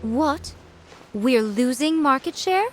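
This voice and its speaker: A woman's voice: sits high, animated, takes it slowly, soft background music. A woman voicing a sly, imperious fox-spirit shrine maiden in a video game, speaking in a teasing, haughty and eloquent way. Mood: alarmed, stern.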